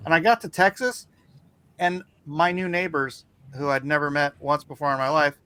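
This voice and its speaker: A man's voice: a little high, fairly even intonation, moderate pace, bright, forceful tone, clear, resonant tone. A man chatting casually as a podcast co-host. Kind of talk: casual chat.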